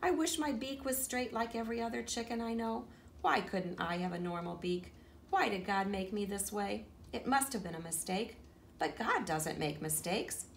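A woman's voice: medium pitch, moderate pace, vocal fry, a little hiss or hum, close to an everyday voice. A woman voicing a vain young hen who frets about her crooked beak, wistful and complaining, in a children's storybook reading.

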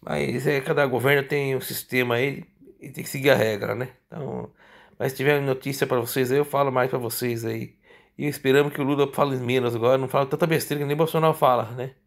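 A man with a Brazilian accent giving casual, off-the-cuff news commentary in a rambling, halting, repetitive way with frequent tag questions. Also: medium pitch, steady tone, creaky. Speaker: political commentary vlogger.

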